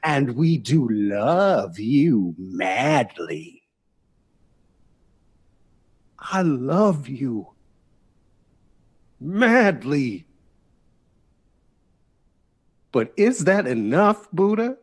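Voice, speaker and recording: Slow-speaking, expressive wounded lover. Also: male voice, mid-range voice, breathy edge, full character voice. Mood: sad, tender. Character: proud, wounded male lover, a performing artist in Paris. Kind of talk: period audio drama dialogue.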